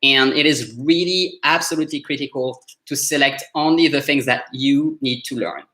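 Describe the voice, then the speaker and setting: A man's voice: medium pitch, normal speed, sharp tone. A man with a French accent, coaching learners in a casual live session, talking loosely with many fillers and restarts. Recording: roomy echo.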